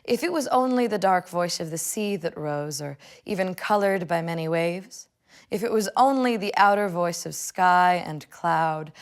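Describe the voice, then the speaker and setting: An American woman in her twenties, speaking and reading poetry with measured, deliberate phrasing. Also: lowish voice. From United States, Illinois. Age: 20s. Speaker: law student reading a poem. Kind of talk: personal reflection and poetry reading. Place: indoors, furnished living room.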